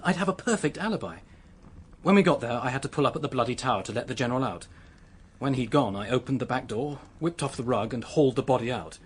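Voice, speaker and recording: A man's voice: medium pitch, breathy edge. Haunted young British man confessing. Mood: tense recounting. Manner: haunted, halting, desperate. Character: distraught young man confessing an accidental killing. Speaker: actor in a radio drama. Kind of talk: radio drama acting, confessional narration.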